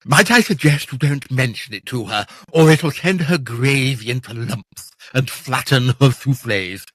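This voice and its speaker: A hesitant, obsequious butler, acted by a man in a period audio drama. His voice swoops up and down in pitch, and the sound is close and dry. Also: expressive, measured pace, a bit bright, dry recording. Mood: evasive, hesitant. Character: deferential, evasive butler, nervously discreet and gloomy.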